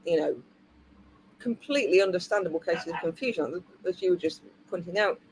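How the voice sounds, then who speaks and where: A woman's voice: fairly low pitch, a little fast, a little mellow, slight background noise, poor audio. A woman hosting a panel over a video call, talking in a forthright, wry way. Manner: forthright, wry, rising emphasis. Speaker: host. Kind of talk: casual panel chat.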